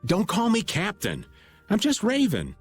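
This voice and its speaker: A man's voice: high-pitched, wildly swooping pitch, a little slow, exaggerated voice. An actor playing a laid-back, middle-aged male archer who drawls and cracks jokes. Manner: drawling, wisecracking. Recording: game music underneath.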